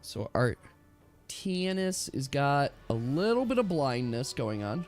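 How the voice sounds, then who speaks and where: A man's voice: medium pitch, sing-song, slow pace, faint music behind. A man acting as game master of a casual tabletop role-playing game, chatty and explaining the rules as he goes.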